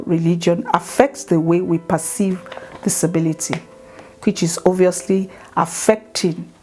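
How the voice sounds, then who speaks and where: A woman from Nigeria with a Nigerian accent, speaking earnestly about her charity's work in a measured way, with some fillers and restarts. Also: lowish voice, dark tone. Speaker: charity founder. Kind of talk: promotional talk to camera.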